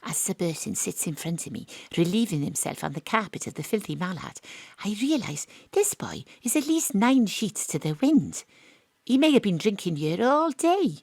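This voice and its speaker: Brisk private eye and narrator. Wry noir parody, animated intonation, dry studio sound. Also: female voice, medium pitch, vocal fry, slightly rough, dry recording, exaggerated voice. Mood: wry narrating. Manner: deadpan noir-style narration, punchy questioning. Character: brisk, wry female private investigator narrating her case. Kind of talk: comic audio drama narration and acting.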